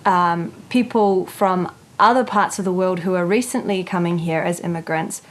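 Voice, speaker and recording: A woman answering interview questions thoughtfully, pausing to search for words. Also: lowish voice.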